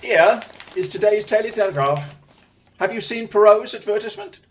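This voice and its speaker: An actor playing a brilliant, wry, self-assured Victorian detective in an audio drama, with a crisp, theatrical, commanding manner. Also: medium pitch, lively intonation, normal speed, natural voice. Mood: wry, pleased.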